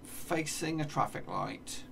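English streamer, 30s, gravelly fry, mic set back with noise behind, self-muttering casual game commentary. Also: male voice, fairly high pitch, lively intonation, slow, a bit bright, distant mic. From England. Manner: mutters place names to himself, swears playfully. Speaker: gaming streamer. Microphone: desk mic, seen in webcam corner.

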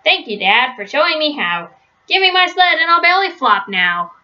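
A woman's voice: high-pitched, big pitch swings, normal speed, sharp tone, pitched above the performer's own voice, heavily acted. A woman voicing an eager, polite young boy bear with a cheeky streak in a storybook. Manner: keen, polite, questioning. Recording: dull, lo-fi webcam sound.

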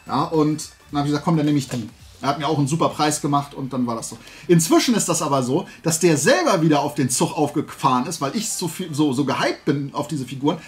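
A man in his forties with a slightly high-pitched voice chats to his viewers like the host of a hobby show. He rambles in a casual, enthusiastic way. Faint music plays behind him. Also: animated, quick pace. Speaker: host of a toy collecting video show. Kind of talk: casual chat, showing a toy haul to viewers. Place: small room full of toy shelves, indoors.

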